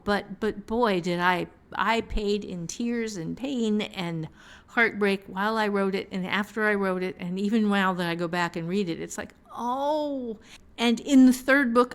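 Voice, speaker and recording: Female co-host, novelist, conversational and emphatic, middle-pitched voice, prone to chuckling, relaxed shop talk. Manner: chuckles often while talking, emphatic repetition. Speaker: novelist and co-host discussing fiction writing. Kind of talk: casual conversational writing discussion.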